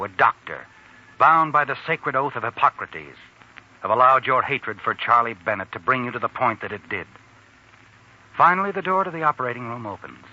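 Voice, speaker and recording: An actor playing an ominous, all-knowing mystery narrator, taunting and suspenseful in 1940s radio style. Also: male voice, mid-range voice. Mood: grave, accusing. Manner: dramatic, suspenseful second-person storytelling. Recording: dull old radio recording.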